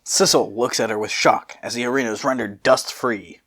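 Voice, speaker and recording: A man's voice: mid-range voice, fairly even intonation, brisk, fairly bright tone, a little hiss or hum. A man reading fanfiction aloud as a storyteller, dropping in casual asides. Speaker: fanfiction reader.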